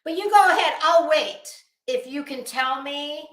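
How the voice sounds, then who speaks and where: A woman's voice: sits high, measured pace. A woman livestream host on a casual rant to her chat, blunt and coarse, with an emphatic, sarcastic, challenging delivery. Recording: echoey room.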